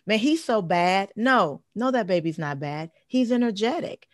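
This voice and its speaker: A woman in her forties with a heavy, deep voice talks as an interview guest. She tells stories with emphasis and energy, leaning on repeated phrases. The sound is close and dry, with no room around it. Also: lively intonation, moderate pace, dry recording. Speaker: guest speaker and life coach. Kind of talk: casual interview conversation.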